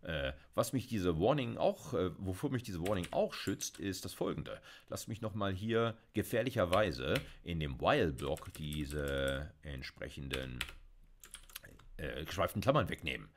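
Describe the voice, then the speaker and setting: A man's voice: low-pitched, animated, a little slow, slight background noise. A middle-aged man from Germany giving a recorded programming lecture from a small home office, talking casually as he types and explains code, with frequent fillers. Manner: conversational, explains while typing, many fillers. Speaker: university lecturer.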